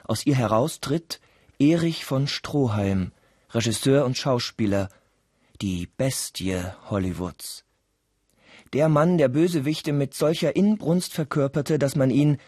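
A man with a husky voice, narrating a scripted radio play in a deliberate, dramatic storytelling manner. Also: medium pitch, animated, darkish tone, dry recording. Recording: dry studio with music underneath. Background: music.

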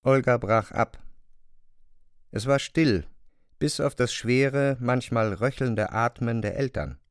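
A man's voice: medium pitch, slow pace, no room sound, clean studio sound. A man narrating a literary radio drama from a script.

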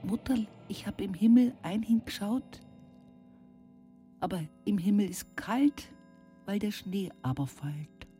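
A woman's voice: medium pitch, animated, slow, long pauses, warm tone. An actress playing a rural Bavarian woman in an intimate radio play monologue, musing plaintively and colloquially about life. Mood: wistful, pleading. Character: rural Upper Palatinate woman, humorous, brooding, recalling her life. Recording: music behind the voice.

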